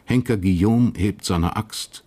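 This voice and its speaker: A man narrating a period crime radio drama in a sober, measured, literary style. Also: on the low side, dark tone, breathy edge.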